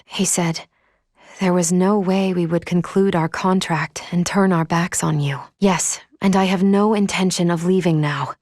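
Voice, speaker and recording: Video game android, female actress performing: lowish, dry close sound, polite, formal, measured, quietly caring elder-sister type. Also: no echo, close to an everyday voice. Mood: earnest, warm. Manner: formal, measured, precise phrasing. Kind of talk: video game character acting.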